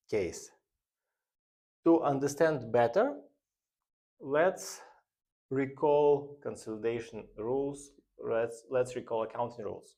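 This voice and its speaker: Slow, halting male finance instructor. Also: mid-range voice, a little mellow. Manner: halting, deliberate delivery in short phrases. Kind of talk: explanatory lecture.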